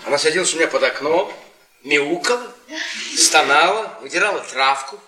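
An actor playing a henpecked middle-aged professor in a radio play, ironic and needling. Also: male voice, fairly high pitch, animated, moderate pace, natural voice. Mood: mocking. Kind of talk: Soviet radio theatre acting. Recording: echoey, distant radio play, noisy background.

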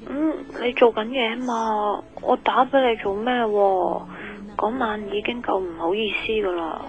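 A mid-pitched female voice as a tender young girlfriend. She is soft, coy and teasing, heard through dull lo-fi sound with faint music behind. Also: a little slow. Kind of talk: Cantonese radio drama acting.

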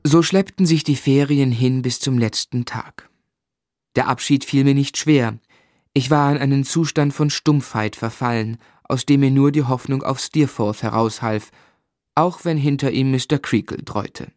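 An actor playing a melancholy man who narrates his sorrowful boyhood in measured, literary style. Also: mid-range voice, darkish tone, no echo, studio quality, natural voice. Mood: reflective, melancholy. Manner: measured, reflective.

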